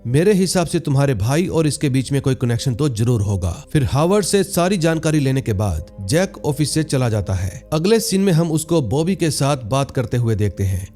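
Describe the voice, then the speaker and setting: A man's voice: medium pitch, dark tone. A man narrating a scripted movie recap in a storytelling style, with music behind him. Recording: music bed under voice. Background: background music.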